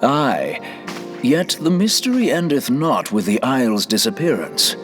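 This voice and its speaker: A grave fantasy-game mystic with a mid-pitched voice. He speaks in measured, archaic, courtly phrases over faint music. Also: big pitch swings, fairly bright tone. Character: solemn male mystic scholar, courtly and archaic. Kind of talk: fantasy game cutscene acting.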